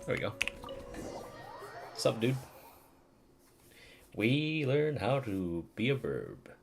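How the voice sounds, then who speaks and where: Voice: medium pitch, big pitch swings, slow, vocal fry. A game streamer giving laid-back, playful playthrough commentary and reading on-screen text aloud in a casual way. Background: game music.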